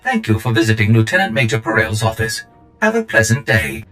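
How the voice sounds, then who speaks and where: A man's voice: on the low side, completely flat delivery, fairly quick, a bit bright, soft background music, close to an everyday voice. A man playing a fussy, polite receptionist droid in a video game, speaking formally and obsequiously. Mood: unctuous politeness. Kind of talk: video game cutscene acting.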